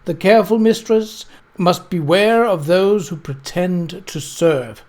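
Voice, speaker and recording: A man reading a novel aloud as narrator, in a measured, formal storytelling manner. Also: high-pitched, a little mellow. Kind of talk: scripted audiobook narration.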